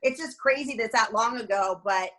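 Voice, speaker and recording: A woman's voice: a little high, normal speed, a bit bright. A woman in her fifties, co-hosting on a video call, chatting in a bubbly, laughing way. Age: 50s. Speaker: co-host. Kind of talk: casual video call chat. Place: home room with bookshelves.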